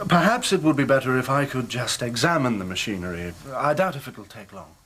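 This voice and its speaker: An actor playing an earnest, inquisitive young engineer in a radio drama, with a British accent and a polite, well-spoken manner. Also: male voice, medium pitch, expressive, fairly quick, a bit bright, lightly acted. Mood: businesslike. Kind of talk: radio drama acting and first-person narration.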